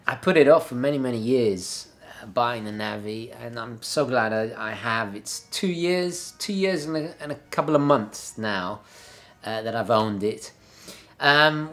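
A man's voice: mid-range voice, fairly bright tone. Young male host, casual and chatty, filmed at a desk in a home office. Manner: chatty and enthusiastic, frequent fillers and restarts. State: slightly hoarse, clearing throat. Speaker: watch channel host. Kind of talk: casual video review. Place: home office room with a map on the wall.

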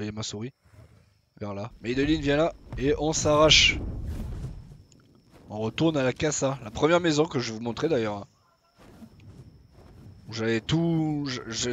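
A man's voice: mid-range voice, a bit bright, a little hiss or hum. A young man casually commenting on his own gameplay as he plays, chatty and swearing now and then. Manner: chatty, swears casually, talks to himself while playing. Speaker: gaming YouTuber.

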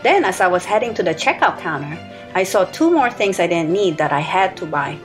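A woman in her thirties or forties reading a scripted voice-over, listing her grocery purchases in an even, matter-of-fact way. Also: lowish voice, brisk. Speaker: grocery haul vlogger. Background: soft background music.

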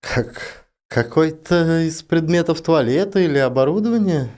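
A man's voice: fairly high pitch, expressive, moderate pace, darkish tone, voice pushed up, close to an everyday voice. A man voicing a tense female space expedition commander, urgent and demanding in her questions, in an audiobook drama. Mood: worried.

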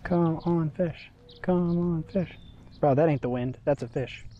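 Young dude narrating his fishing trip on a camera outdoors, kinda high voice, faint wind and bugs behind. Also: a little slow, highs cut off. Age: young adult. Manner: excitable, talks to viewers while fishing. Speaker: fishing vlogger. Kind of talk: casual outdoor vlog. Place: outdoors by a river backwater. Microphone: action camera.

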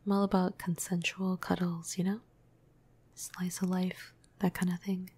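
Flirty, gentle female vampire for ASMR roleplay woman performer: breathy half-whisper, dark mellow tone, very even pitch, playful casual delivery. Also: unhurried. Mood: amused, teasing. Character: flirty, cuddly, teasing female vampire crush. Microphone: close microphone.

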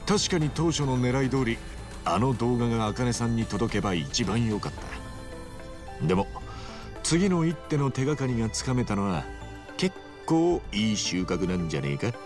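An actor playing a rough, earnest, big-hearted hero in his forties, speaking bluntly in casual street Japanese in a video game cutscene. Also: mid-range voice, sing-song, normal speed, over music, close to an everyday voice. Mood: easygoing.

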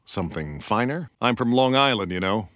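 An actor playing a suave, cultured art-thief gangster in a video game, with refined, theatrical, wry delivery. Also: male voice, lowish voice, lively intonation, brisk, fairly bright tone, dry recording, clean studio sound. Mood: dry, calm. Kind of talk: video game character voice lines, short combat barks and asides.

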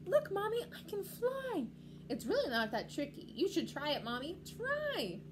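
A woman voicing an excitable, anxious little boy penguin in a children's storybook, childlike and exclaiming. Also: high-pitched, moderate pace, noisy background, pitched above the performer's own voice. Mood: excited, joyful. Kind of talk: children's picture-book read-aloud.

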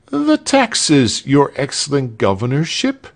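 Storybook rebel: a man voicing a hot-headed protester, high and blurting, wildly varied pitch. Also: huge pitch swings, slow pace, vocal fry, heavily acted. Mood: indignant. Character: indignant, hot-headed male rebel protesting to his ruler.

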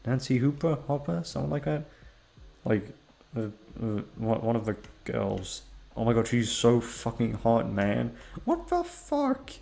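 A young man rambling in a casual chat, swearing often and jumping into high-pitched exclamations. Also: big pitch swings, measured pace, creaky, dark tone. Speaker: audio roleplay creator.

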